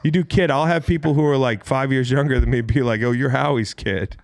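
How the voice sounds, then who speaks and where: A man's voice: medium pitch, brisk, no room sound. An American man around forty, bantering bluntly on a casual sports podcast as host, swearing freely as he tells stories.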